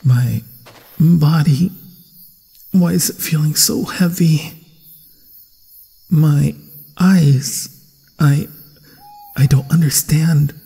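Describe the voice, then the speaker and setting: A man playing a grieving, vengeful monster hunter who protects a stranger, speaking solemnly and haltingly in an ASMR fantasy horror roleplay, with a husky, breathy voice. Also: a little high, mellow, exaggerated voice. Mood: weakening, pained. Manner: solemn, dramatic, halting delivery with long pauses. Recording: long cavernous reverb, insect sounds.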